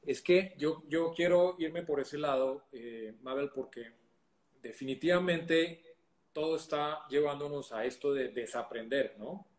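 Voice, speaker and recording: Male host, fairly high voice, reflective, roomy echoey sound. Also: measured pace. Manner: thoughtful, poses long reflective questions. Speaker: interview host. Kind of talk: conversational interview. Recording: echoey room.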